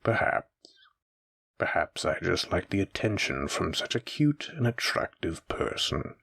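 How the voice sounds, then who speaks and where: A menacing supernatural mafia boss, played by a man toys with his captive in a roleplay audio drama. His voice is very deep and husky, with heavy gravel in it. He taunts and teases theatrically, speaking straight to the listener. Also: sing-song, measured pace, fairly bright tone, exaggerated voice. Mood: amused, threatening. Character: menacing, teasing male mafia boss villain with shadow powers.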